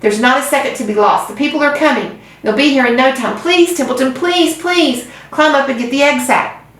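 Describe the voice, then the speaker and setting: A woman's voice: high-pitched, fast, fairly bright tone, voice pushed up. A woman voicing an earnest, anxious young male pig who pleads and cries out, recorded in a very echoey room from a distance. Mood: pleading.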